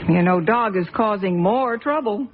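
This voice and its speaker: A woman's voice: mid-range voice, normal speed, breathy edge, natural voice. An actress playing a worried mother in a radio drama, firm and scolding but caring toward her sick son. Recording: dull lo-fi old radio recording.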